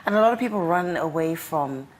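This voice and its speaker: A woman's voice: deep voice, expressive, brisk, slight background noise, poor audio. A woman hosting a studio interview, chatty and probing, asking long winding questions. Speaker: talk show host.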